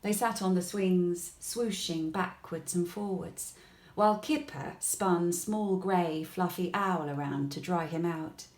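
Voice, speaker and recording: A woman reading a children's bedtime story aloud in an expressive, gentle way, with deliberate pauses. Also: lowish voice. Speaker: storybook reader. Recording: echoey room.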